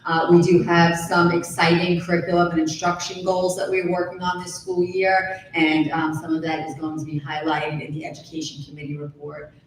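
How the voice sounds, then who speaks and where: A woman reports cheerfully at a school board meeting, her voice low and fairly flat, with frequent ums. She is heard from a distance in a very echoey room with a faint rumble underneath. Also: moderate pace, hardly any pauses. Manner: upbeat, enthusiastic, many ums. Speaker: assistant superintendent for curriculum.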